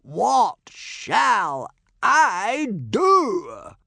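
A man's voice: very high-pitched, huge pitch swings, drawn-out words, gravelly, pushed and bright, no echo, pitched above the performer's own voice, heavily acted. Man acting a swooping, exasperated reluctant warrior hero. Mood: anguished. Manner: grumbling, pleading, rhetorical questions. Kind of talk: audiobook character voice in children's fantasy adventure.

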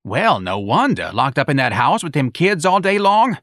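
A brash, eager middle-aged hostess, as a male narrator puts her on, pitched well above his own voice and pushed hard. She gushes, scolds and drawls, loud and ungrammatical. The read is close and dry. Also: sing-song, normal speed, dry recording. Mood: scolding.

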